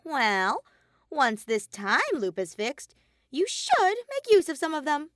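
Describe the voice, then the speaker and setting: A woman's voice: sits high, sing-song, takes it slowly, fairly bright tone, no room sound, clean studio sound, voice pushed up, exaggerated voice. A woman voicing a mischievous, sadistic male robot bear in an audio story, flippant and taunting. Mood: smug, dismissive. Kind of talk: fan fiction audio story character voice.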